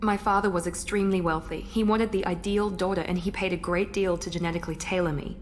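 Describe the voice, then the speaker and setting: Woman playing a low-voiced, guarded operative. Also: steady tone, fast talker, slight background noise, natural voice. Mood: guarded, serious. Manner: crisp, formal, controlled. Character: proud, guarded, genetically engineered female operative. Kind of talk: video game character acting. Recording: game audio with faint ship ambience.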